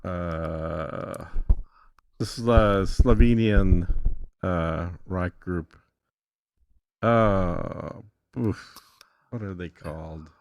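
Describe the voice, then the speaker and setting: A man's voice: deep voice, drawn-out words, vocal fry, long pauses, no echo. A man giving a casual tutorial as a podcast guest, informal and thinking aloud as he goes. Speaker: podcast guest demonstrating an app.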